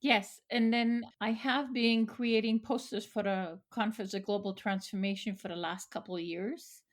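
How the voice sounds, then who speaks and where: A woman's voice: medium pitch, steady tone, moderate pace. A middle-aged woman from Austria, a photographer answering interview questions earnestly, with fillers and restarts. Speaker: photographer, guest.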